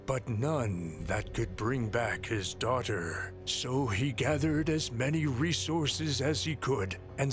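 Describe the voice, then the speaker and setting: A solemn storyteller narrates a dark pirate legend at an unhurried pace. His voice is mid-pitched and measured, set over prominent music. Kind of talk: dramatic scripted storytelling.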